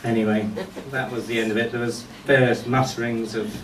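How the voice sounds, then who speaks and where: A middle-aged man giving a eulogy as a speech of humorous anecdotes, told in a wry, unhurried way. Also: mid-range voice, level tone. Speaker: speaker giving a memorial tribute. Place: indoor function venue at a golf club, before an audience. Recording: echoey room, distant mic, faint noise.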